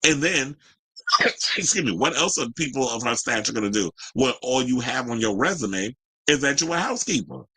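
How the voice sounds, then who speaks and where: A man's voice: mid-range voice, animated, normal speed, bright, forceful tone, husky, dry recording, low-quality recording. A man hosting casual gossip commentary, chatty and candid, with a sassy, colloquial way of talking to his audience. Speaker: host of a commentary show.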